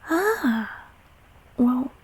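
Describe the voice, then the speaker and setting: A woman voicing a gentle, protective childhood closet monster in a comfort audio roleplay, speaking haltingly in a breathy, raspy whisper. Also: high voice, big pitch swings, full character voice, rough recording. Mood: tender, patient. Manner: halting, soothing, softly spoken.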